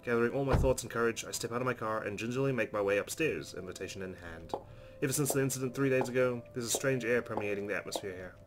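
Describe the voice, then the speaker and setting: A man reading first-person visual novel narration aloud as a let's player, in an earnest, expressive storytelling style. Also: medium pitch, fast, background noise. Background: soft game music.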